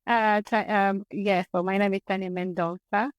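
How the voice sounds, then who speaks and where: Angolan-born woman, middle-aged guest, smooth clear voice, dry close mic. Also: mid-range voice, fairly even intonation, moderate pace, no room sound. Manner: warm, restarts phrases often, laughs easily. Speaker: guest, dance teacher. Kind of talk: casual podcast interview.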